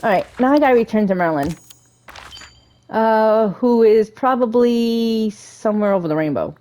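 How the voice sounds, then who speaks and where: A woman giving casual, chatty gameplay commentary, excitable and often interrupting herself. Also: a little high, normal speed. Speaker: video game streamer playing through a story quest.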